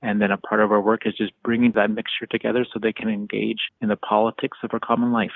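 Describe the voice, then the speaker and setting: A man's voice: on the low side, level tone, fast, vocal fry, no echo, narrow, tinny audio. A man from Canada, a community organizer, telling his story in earnest, conversational interview answers. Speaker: lead organizer, interview guest.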